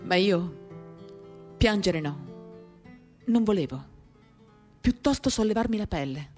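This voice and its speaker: A woman giving an intimate, measured dramatic reading of a literary text, pausing between short phrases. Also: mid-range voice, expressive, a little mellow. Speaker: reader of a novel. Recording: guitar music under the voice. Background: acoustic guitar.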